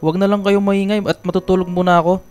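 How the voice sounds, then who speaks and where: A man's voice: sits high, level tone, fast, a little mellow, clear, resonant tone, lightly acted. An actor playing a lazy, irritable middle-aged father in a Filipino radio drama. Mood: grumpy, irritated.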